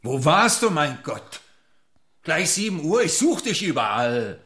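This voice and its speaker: An actor playing a jealous, possessive bohemian lover in a radio play, intense and demanding. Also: male voice, on the high side, big pitch swings, a little slow, bright, forceful tone, exaggerated voice. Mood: anxious.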